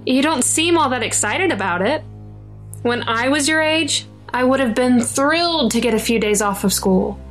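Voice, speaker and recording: Woman acting worried naggy mom in an audio drama, high voice, faint music behind. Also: lively intonation, moderate pace. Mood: chatty. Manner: nagging, anxious. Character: protective, fretting suburban mother of a schoolboy.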